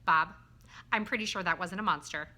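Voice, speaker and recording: Woman as a bright, curious storybook girl. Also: fairly low pitch, brisk. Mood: coaxing, reassuring. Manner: direct, coaxing, questioning. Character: curious, bossy, caring young girl, storybook heroine.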